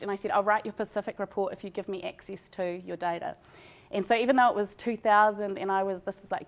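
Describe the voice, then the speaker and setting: A woman with a New Zealand accent presenting research in an informal, conversational academic lecture. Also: mid-range voice.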